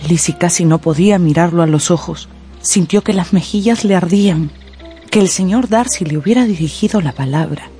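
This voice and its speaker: A woman narrating a radio drama in a scripted, dramatic style, with deliberate, suspenseful phrasing. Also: fairly low pitch, dark tone, soft background music.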